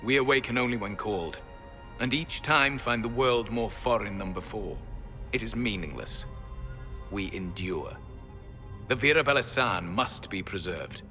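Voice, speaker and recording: Game elf sentinel: proud, solemn, archaic diction, lowish pitch, faint music behind. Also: male voice, expressive, bright, forceful tone. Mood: grave, weary. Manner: formal, archaic, measured phrasing. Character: proud, weary, ancient elven sentinel, stern and solemn. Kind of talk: dramatic video game acting. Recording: long hall reverb, music underneath.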